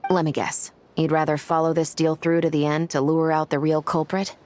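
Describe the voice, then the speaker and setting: A woman's voice: sits low, flat delivery, a little fast, a little mellow, lightly acted. A woman playing a confident, skeptical investigator in an anime game, speaking in an assured, pointed way. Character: confident, skeptical female investigator, businesslike and sharp.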